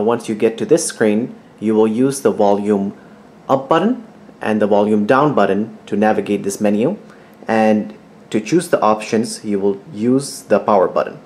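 A man's voice: on the low side, a little mellow. A man giving step-by-step instructional narration as a tech tutorial presenter, in a plain, methodical, informal way. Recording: clearly audible background noise.

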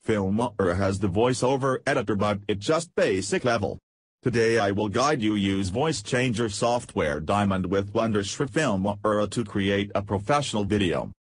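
Husky, low-voiced man narrating a tutorial. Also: normal speed, no room sound. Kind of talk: scripted software tutorial narration.

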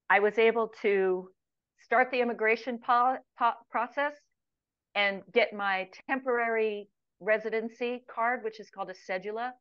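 A woman's voice: medium pitch, clean tone, dry recording. Woman in her 60s chatting on a webcam from home, muffled dull audio, dry sound, rambling storyteller with lots of ums. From United States. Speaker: guest, retired expatriate. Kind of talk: casual interview chat. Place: home room with plants, indoors. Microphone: video call webcam. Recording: muffled video call audio.

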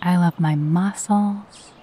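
A woman guiding a meditation in a soft, breathy voice, with a gentle, soothing and unhurried delivery. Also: on the low side, slight background noise. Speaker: meditation guide. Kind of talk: guided meditation with spoken affirmations.

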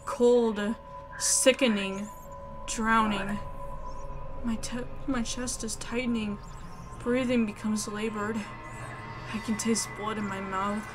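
A woman reading scripted story narration aloud in an expressive way. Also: mid-range voice, slow pace, long pauses. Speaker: video game player reading narration aloud. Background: music.

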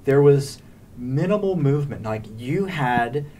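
A man's voice: mid-range voice, expressive, a little slow, warm tone. A man interviewing guests in a conversational style. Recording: slightly distant mic, faint room noise.

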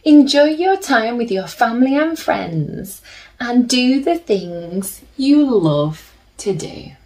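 A woman in her thirties reading a picture book aloud to children in a gentle, deliberate manner, with chatty asides. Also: on the high side, big pitch swings. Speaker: children's story reader. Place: small plain room indoors.

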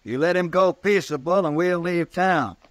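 A man's voice: high-pitched, moderate pace, no room sound, natural voice. Man playing a defiant cowboy gang leader, dry sound. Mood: menacing. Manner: folksy, blunt, menacing. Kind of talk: mid-century American radio western acting.

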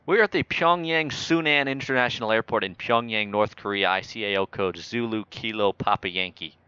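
A man's voice: lowish voice, fairly quick, sharp tone, smooth, no room sound, highs cut off. A man talking as an air traffic controller in a flight simulator game, joking in mock-official radio phrasing.

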